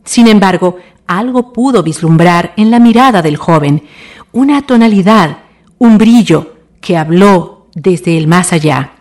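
Expressive Chilean woman narrator, lowish voice. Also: unhurried. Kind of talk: scripted radio drama narration. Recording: long reverb, faint music behind.

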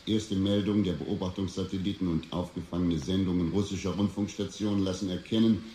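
An actor playing a grave American president giving a measured, formal emergency address in a radio drama. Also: male voice, deep voice, darkish tone, lightly acted. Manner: measured, solemn, official. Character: grave American president addressing his nation after nuclear war. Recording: echoey with faint noise.

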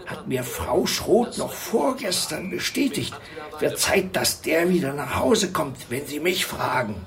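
An actor playing a chatty, secretive pensioner in his sixties in a radio drama, halting and confiding. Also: a little high, animated, creaky. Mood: guarded, grave. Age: 60s. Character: chatty, secretive old pensioner, gossipy neighbour. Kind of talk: naturalistic radio drama dialogue.

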